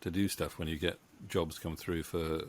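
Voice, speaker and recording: A middle-aged man interviewing guests in a casual, halting, rambling way into a close microphone. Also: very deep voice, level tone. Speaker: interviewer, writer-producer. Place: indoor home room with bookshelves. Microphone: studio microphone on boom arm, close.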